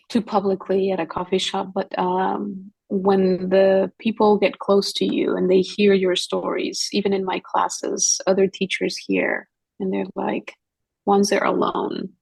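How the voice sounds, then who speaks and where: Husky, gravelly-voiced woman telling stories. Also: medium pitch, darkish tone, poor audio. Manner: earnest, storytelling, quotes others in dialogue. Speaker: guest missionary. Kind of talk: podcast conversation.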